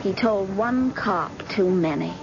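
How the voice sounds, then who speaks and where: Grave, bitter daughter on noisy old radio. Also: female voice, medium pitch, creaky, muffled recording. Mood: solemn. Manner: deliberate, solemn storytelling. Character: grave, bitter grown daughter, guarded and deliberate. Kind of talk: old-time radio drama acting.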